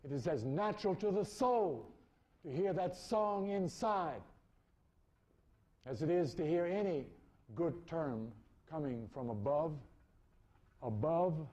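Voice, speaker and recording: A man preaching an esoteric spiritual sermon, deliberately repeating phrases for emphasis. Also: on the high side, lively intonation, a little mellow.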